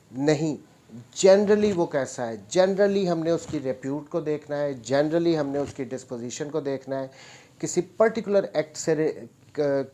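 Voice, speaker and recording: A man's voice: a little high, warm tone. A man with a Pakistani accent lecturing on law in an explanatory, didactic way, mixing in English legal terms and repeating key points for emphasis.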